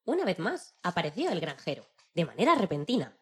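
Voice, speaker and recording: A woman narrating a children's story in an expressive storytelling style. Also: on the low side, brisk, breathy edge.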